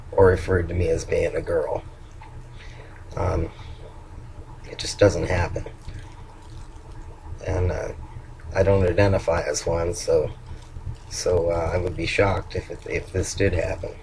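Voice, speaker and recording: Older man with a very low voice. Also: creaky, darkish tone, a little hiss or hum, low-quality recording. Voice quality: low voice, nasal at times. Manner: halting, with frequent ums and restarts. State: hoarse. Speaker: vlogger. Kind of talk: casual personal video update.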